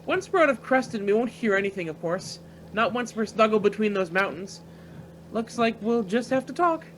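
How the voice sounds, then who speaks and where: An actor playing an eager, carefree young student pilot in a naturalistic radio play, boyish, slangy and enthusiastic. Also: male voice, high-pitched, lively intonation, normal speed, a bit bright, a little hiss or hum, low-quality recording. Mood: chatty, upbeat. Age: young adult.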